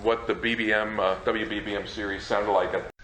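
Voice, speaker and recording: A man giving an informal talk as a presenter, in a halting, stammering manner. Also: lowish voice, little pitch movement, loud music behind the voice. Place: presentation room at a convention. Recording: echoey room, faint noise.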